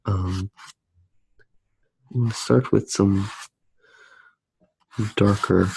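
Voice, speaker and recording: Male ASMR artist, very low and husky, soft-spoken, thinking aloud as he demonstrates drawing. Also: steady tone, drawn-out words, no room sound, poor audio. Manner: soft-spoken, musing, hesitant.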